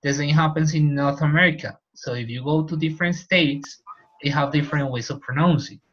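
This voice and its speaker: A man's voice: on the high side, steady tone, a little fast, fairly bright tone. A male teacher with a Colombian accent, explaining points in an online class and repeating key terms. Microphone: video call. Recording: muffled video call audio.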